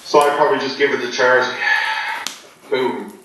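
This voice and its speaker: A man being interviewed before a poker game, joking in a deadpan, rambling way with many ums. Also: medium pitch, normal speed. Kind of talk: joking casual chat. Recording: echoey room, voice from far off, faint noise.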